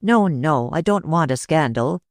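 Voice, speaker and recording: A woman's voice: low voice, measured pace, no room sound, studio quality. A woman reading a cool Englishman. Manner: calm, reasonable, curt. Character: cool-headed young Englishman.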